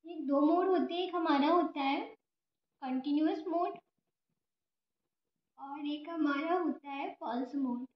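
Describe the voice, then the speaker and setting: Young lady teaching at a whiteboard, high voice, asks and answers her own questions, dull lo-fi sound. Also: slow, pauses often. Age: young adult. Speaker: physiotherapy student giving a lesson. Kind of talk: informal whiteboard lecture, explaining step by step. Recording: echoey room, dull lo-fi sound.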